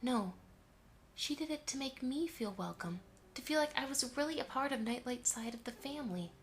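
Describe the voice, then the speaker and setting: A woman voicing a gentle, motherly mare who talks in a fond, reassuring way in an audio drama. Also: high-pitched, lively intonation, moderate pace, a little hiss or hum. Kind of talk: fan audio drama acting.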